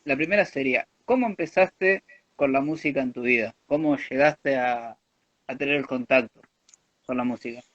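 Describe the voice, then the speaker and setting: A man's voice: mid-range voice, normal speed, fairly bright tone, no room sound. Young man asking questions, dull lo-fi sound. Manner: polite, reads prepared questions. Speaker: student interviewer. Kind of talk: prepared interview questions. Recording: dry, dull lo-fi mic.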